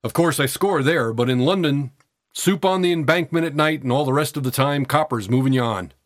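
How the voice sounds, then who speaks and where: A man voicing a frail eighteen-year-old tramp boy, cocky, weary and defiant, with husky, breathless, colloquial working-class speech. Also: a little high, brisk, no echo, studio quality, close to an everyday voice. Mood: knowing, world-weary.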